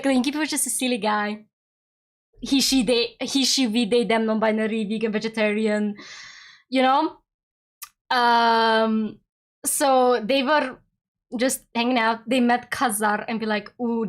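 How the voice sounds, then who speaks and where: Giggly young woman streamer. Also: a little high. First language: Hungarian. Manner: giggly, rambling, talks in quick excited bursts. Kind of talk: casual chat with viewers during a game stream.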